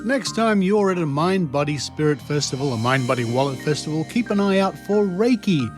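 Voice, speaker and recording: A man's voice: on the high side, expressive, brisk. An Australian man hosting a podcast with wry, informal commentary. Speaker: podcast host. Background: music.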